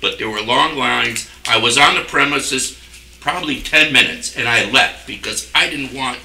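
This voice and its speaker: An older man argues his case at a board meeting in a very sharp, forceful voice. He is defensive and insistent, and his words come from some distance in an echoey room. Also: mid-range voice, normal speed, gravelly. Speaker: town select board member. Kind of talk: argumentative speech at a public meeting. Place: wood-panelled municipal meeting room.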